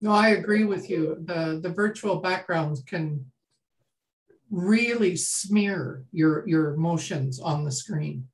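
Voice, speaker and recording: A woman's voice: lowish voice, takes it slowly, low-quality recording. Older woman leading a workshop. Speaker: workshop leader. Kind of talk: informal workshop talk on a video call. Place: small home room, indoors. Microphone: video call computer mic.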